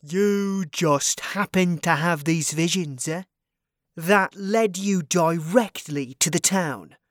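Casting: sneering male wizard adventurer, played by a woman, slow-paced, mocking, theatrical, dry close sound, fantasy audiobook. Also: lowish voice, dry recording. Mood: mocking, accusing.

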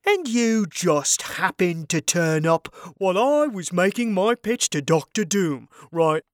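A woman's voice: lowish voice, expressive, a little slow, no echo, clean studio sound. A woman voicing a sarcastic, foul-mouthed male wizard in a fantasy audiobook, mocking and theatrical in delivery. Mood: mocking, accusing.

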